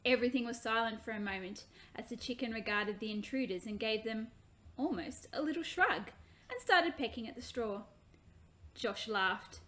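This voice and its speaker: A woman reading her own children's book aloud in an expressive, animated way. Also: fairly high pitch, normal speed.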